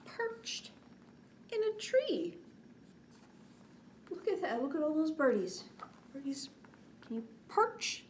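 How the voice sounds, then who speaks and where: A woman in her forties hosting a children's bedtime story time, expressive, drawing out words and often dropping to a hushed, whispery tone. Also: high voice, takes it slowly, long pauses. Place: indoors, small curtained set. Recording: clear background noise.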